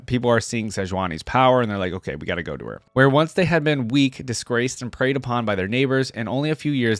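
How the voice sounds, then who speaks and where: A man's voice: mid-range voice, no room sound, studio quality. A man hosting a reaction channel, moving between casual commentary and reading aloud.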